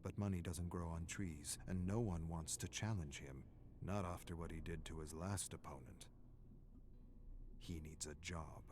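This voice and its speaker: A man reading a dramatic scripted story in a measured, brooding way, in short clipped phrases. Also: low voice, noisy background. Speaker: narrator.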